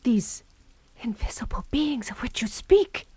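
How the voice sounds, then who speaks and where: An actress playing an anxious, devoted French maidservant in an audio horror drama, deferential, earnest and hesitant. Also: female voice, a little high, sing-song, measured pace, dark tone, breathy edge, dry recording, in a whisper, exaggerated voice. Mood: pleading, worried.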